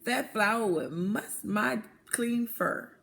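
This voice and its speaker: A woman's voice: fairly high pitch, drawn-out words, creaky, a bit bright, exaggerated voice. A woman voicing a prim, vain, lazy cat in a children's storybook, fussy and self-regarding. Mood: fussy refusal.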